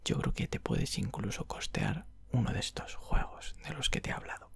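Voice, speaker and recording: A man from an ASMR roleplay playing a friendly, chatty video game shop clerk, whispering casually up close with a breathy Castilian Spanish voice. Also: normal speed, no echo, lightly acted. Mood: soft, friendly, relaxed. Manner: informal, explanatory, frequent check-in tags. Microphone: close microphone.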